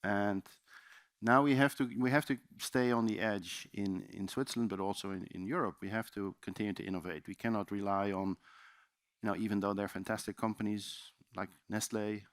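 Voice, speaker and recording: A man's voice: on the low side, fairly even intonation, fairly quick, dry recording, clean studio sound. A man with a Dutch accent giving a loose, chatty seminar presentation in a conference room, with many fillers and restarts. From Netherlands. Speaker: conference speaker.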